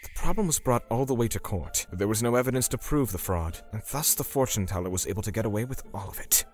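A man's voice: on the low side, lively intonation, fast talker, lightly acted. An actor voicing a solemn, menacing torturer who narrates his vengeance in a dramatic manga dub. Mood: sombre. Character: grim, self-righteous male torturer seeking vengeance for victims. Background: music.

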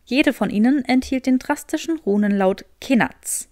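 A woman's voice: high-pitched, normal speed, dark tone, no echo, studio quality. A woman reading a scripted story as an audiobook narrator.

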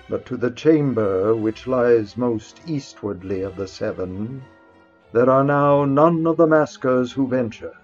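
Slow, theatrical man reading gothic horror. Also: medium pitch, a little mellow. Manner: theatrical, deliberate, with dramatic pauses. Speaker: narrator, story reader. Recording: slightly dull, lo-fi recording with music underneath. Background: music.

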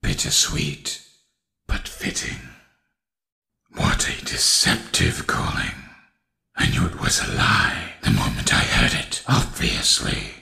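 An actor voicing a sinister rotting male animatronic rabbit in a horror game, with a gravelly, whispery voice. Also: a bass voice, very animated, slow pace, pushed and bright, exaggerated voice.

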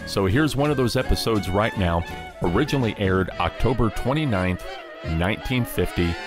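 Male radio host, lowish voice, scripted presenting over clear background music. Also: expressive, moderate pace. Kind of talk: scripted introduction of old radio shows.

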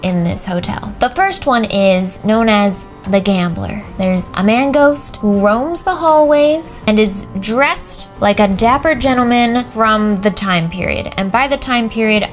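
A woman's voice: medium pitch, normal speed, hardly any pauses, soft background music. A young woman hosting a ghost hunting vlog from a small hotel room, chatting in a bubbly, jokey, hyper way. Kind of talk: casual chat.

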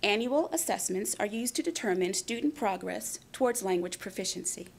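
A woman's voice: mid-range voice, lively intonation, moderate pace, gravelly, slight background noise. Woman narrating a school presentation. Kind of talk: scripted narration.

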